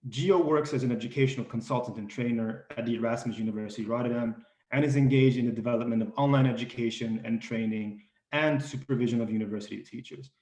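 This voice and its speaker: A man's voice: medium pitch, fast, a little mellow. A man moderating a panel discussion, introducing guests with frequent ums and restarts. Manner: hesitant, many ums and restarts. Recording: echoey room.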